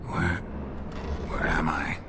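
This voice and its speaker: An actor playing a weary, dry-witted captive warrior in a video game, gruff and laconic. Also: male voice, very deep voice, slow, noisy background. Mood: groggy, dry. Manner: laconic, deadpan.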